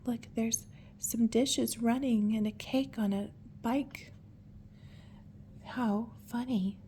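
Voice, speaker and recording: A woman reading a picture book aloud to young children, with gentle, admiring, chatty asides. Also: a little high, steady tone, slow pace, a little hiss or hum.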